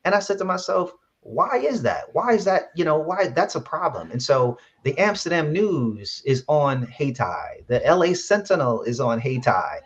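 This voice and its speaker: A man's voice: fairly high pitch, big pitch swings, normal speed, hardly any pauses. Earnest Brooklyn-raised man, muffled sound. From Brooklyn, New York. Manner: earnest, with frequent fillers and restarts. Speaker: app founder, guest. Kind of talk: podcast interview answers. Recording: dull lo-fi sound.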